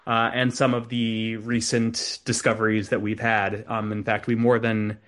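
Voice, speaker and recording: A man's voice: fairly low pitch, a little slow. Easygoing man lecturing over a video call. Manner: relaxed, conversational, many ums. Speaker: guest speaker, astrophysicist.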